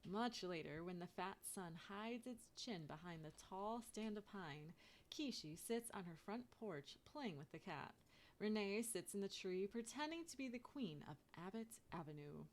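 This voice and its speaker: A woman reading a children's picture book aloud in an expressive way, turning sing-song on chants. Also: medium pitch, unhurried. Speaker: storybook reader.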